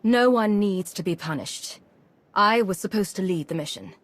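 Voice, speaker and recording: An actress playing a principled, composed commander in a video game, measured and authoritative. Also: female voice, medium pitch, expressive, no echo, close to an everyday voice. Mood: concerned. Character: principled, composed female commander, compassionate yet firm.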